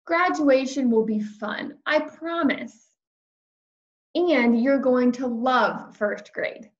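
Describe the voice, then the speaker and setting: A woman voicing a gentle, patient storybook mother reassuring her kindergartner in a soft, soothing manner. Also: high voice, takes it slowly, roomy sound, lo-fi sound.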